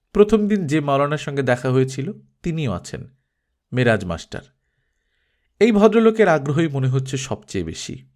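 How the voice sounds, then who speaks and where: A man narrates an audiobook with dramatic flair. His medium-pitched voice moves through very lively intonation as he reads the scripted story.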